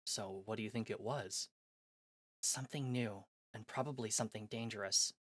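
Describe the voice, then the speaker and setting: A man voicing a calm adult woman, a magic-sensing girlfriend, in a visual novel read aloud, speaking in a quiet, measured, grave way. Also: fairly low pitch, husky, no room sound, clean studio sound.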